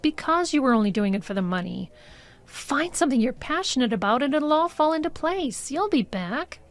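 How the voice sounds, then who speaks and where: A woman acting in an audio drama as a skeptical, blunt but soft-hearted agent with a matter-of-fact manner. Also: sits high, big pitch swings, normal speed. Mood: firm, reassuring. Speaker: actor.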